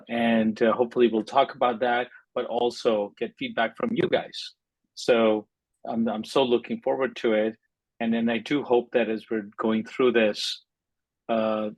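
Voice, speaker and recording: A man's voice: on the low side, slightly rough, no room sound, highs cut off. A man who teaches religious classes, speaking informally to a group.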